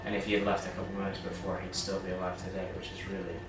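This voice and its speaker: A man's voice: low-pitched, completely flat delivery, rapid-fire, recorded from a distance, noisy background. A man, an actor, talking somberly about the real person he plays. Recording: echoey room from afar, loud music behind.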